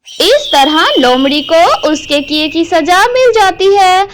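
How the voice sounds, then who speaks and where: A woman narrates a children's cartoon fable from a script. Her voice is very high and animated, and she takes her time. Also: slow pace.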